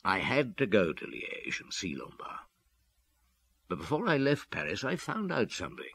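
An actor playing a reflective police detective, recounting a past case in a measured way for a radio drama. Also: male voice, fairly low pitch, wildly swooping pitch, fairly bright tone, husky, no echo, exaggerated voice. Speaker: detective narrator. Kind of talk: old radio drama storytelling.

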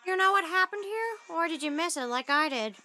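An extremely high, bright little cartoon mouse acted by a woman, kindly and eager to help. He speaks politely with lively swings in pitch, over background music. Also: brisk, heavily acted. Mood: friendly, concerned. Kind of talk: comic dub voice acting. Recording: music under the voice.

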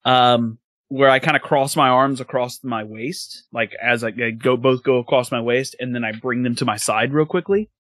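Casting: mid-pitched male player, chatty and a little unsure, dry close sound. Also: fairly even intonation, normal speed, few pauses, fairly bright tone, no room sound. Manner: rambling, hesitant, laughs easily. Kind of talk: casual tabletop roleplay chat.

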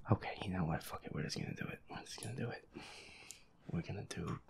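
A low-voiced gamer gives hushed commentary on a horror game, full of gravelly fry. The delivery is nervous and reluctant, often sinking into a breathy whisper as they mutter to themself. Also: fast talker. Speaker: solo gamer.

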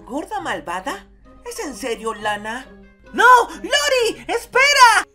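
A high, swooping cartoon kid, played by a woman, dramatic and anxious, with a Latin American accent. Music runs behind the voice. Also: wildly swooping pitch, slow pace, pushed and bright, exaggerated voice. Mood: alarmed. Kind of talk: Spanish-language cartoon comic fandub.

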